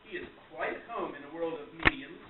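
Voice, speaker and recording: A man narrating a radio play as its moderator, reading the script in a theatrical, deliberate storytelling manner. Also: fairly high pitch. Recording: very echoey, distant, tinny, noisy hall.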